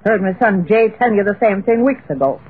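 A woman acting a bossy, folksy old mother-in-law with a husky voice in an old radio play. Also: medium pitch, lively intonation, brisk. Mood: irritable. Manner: folksy, scolding. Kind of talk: 1930s-40s radio horror acting. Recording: tinny old radio recording.

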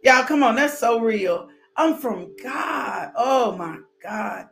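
A woman preacher delivering a fervent sermon, repeating declarations, breaking into high whoops and dropping to hushed prayer. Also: lively intonation, slow, gravelly, faint music behind. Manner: exclamatory, with sudden high whoops. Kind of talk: fervent sermon with altar call and blessing.

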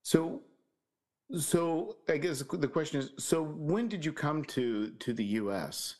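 A man's voice: on the high side, animated, slow, darkish tone. A man hosting a podcast in casual conversation, rambling with frequent "you know" fillers. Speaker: podcast host.

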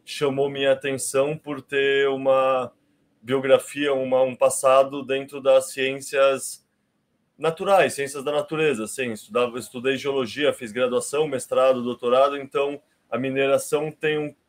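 A Brazilian man in his thirties, speaking with a Brazilian Portuguese accent. He talks at a relaxed, unhurried pace, explaining things step by step and restarting his sentences often. His pitch sits in an ordinary middle range for a man. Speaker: podcast guest. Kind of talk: casual conversation.

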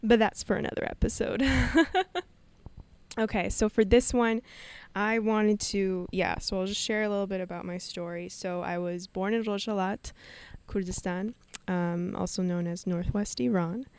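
A woman's voice: medium pitch, fairly even intonation, normal speed, darkish tone, clear, resonant tone. A young woman hosting a solo podcast, telling a personal story in an emotional, meandering way and laughing often. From Canada. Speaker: podcast host.

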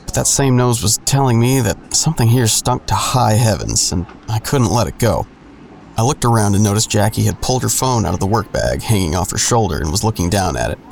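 A sardonic male narrator of an audio drama, telling his own story in a low voice with a creaky edge. He is wry, crude and self-deprecating. There is faint noise behind him. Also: animated, a little fast, darkish tone. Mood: wry, confiding. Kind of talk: first-person scripted narration.

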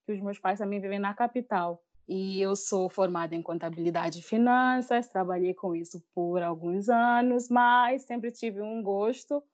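A woman's voice: medium pitch, fairly even intonation, moderate pace, few pauses, a little mellow. A woman of twenty-six from Mozambique with a Mozambican accent, telling personal stories in a casual, chatty way as a podcast guest. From Mozambique, raised in Maputo. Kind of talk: casual personal storytelling in an interview. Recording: slightly dull lo-fi audio.

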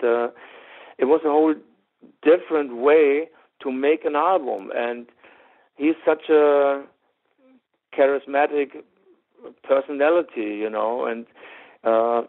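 A rock singer with a German accent speaks in a gravelly voice, rambling through his answers with lots of fillers and quick laughs. The sound is narrow and tinny, like a phone call. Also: mid-range voice. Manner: rambling, many fillers and restarts, easy laughs. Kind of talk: interview answers. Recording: tinny phone-line audio.

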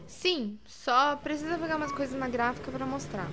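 A woman's voice: sits high, normal speed, noisy background, close to an everyday voice. A Brazilian woman playing a bubbly, self-questioning young professional in an audio drama. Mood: grateful, friendly. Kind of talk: audio drama dialogue and monologue.

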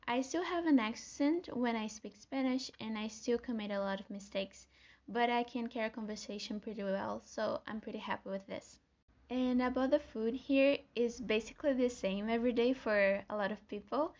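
A woman's voice: fairly high pitch, moderate pace, a little mellow, muffled recording. A young woman from Brazil with a Brazilian Portuguese accent, hosting her own solo podcast in an earnest, friendly way, as if reading from notes. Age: young adult. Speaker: podcast host. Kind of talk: scripted solo podcast talk.

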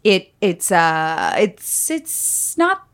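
A woman in her forties hosting a podcast, joking and talking in quick bursts with lots of restarts. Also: on the low side, clean tone. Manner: animated, jokey, trailing restarts. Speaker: podcast host. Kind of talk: casual comedic chat.

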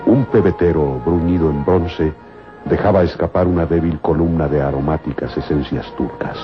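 Deep-voiced male radio serial narrator. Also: normal speed. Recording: muffled old radio recording. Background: music.

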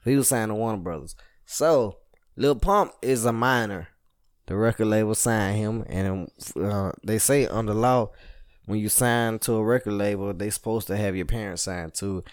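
A man's voice: fairly low pitch, normal speed, no room sound. Laughing man in dry podcast banter. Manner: laughs a lot, loose slangy banter. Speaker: podcast co-host. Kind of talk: casual chat.

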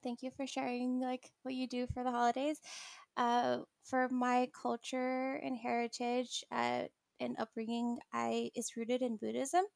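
Creaky-voiced woman hosting a podcast. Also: on the high side, measured pace, dry recording, clean studio sound. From California. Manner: relaxed, rambling with many fillers. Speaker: podcast host. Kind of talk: casual chat.

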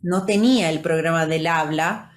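A woman's voice: low voice, normal speed. A woman from Brazil, a native Portuguese speaker, co-hosting a podcast and explaining things conversationally and didactically, with rhetorical questions. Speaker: podcast co-host. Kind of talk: conversational explanation with simple comparisons.

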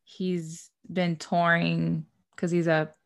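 A woman's voice: lowish voice, flat delivery, slow, creaky. A woman whose first language is Spanish, co-hosting a podcast in casual chat, rambling and sometimes stumbling over words. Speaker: podcast co-host.

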